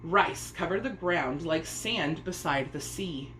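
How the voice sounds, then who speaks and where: A woman narrates a children's story expressively. Her pitch is animated and her pace is on the slow side. The recording has clear room echo and faint noise. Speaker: storybook reader.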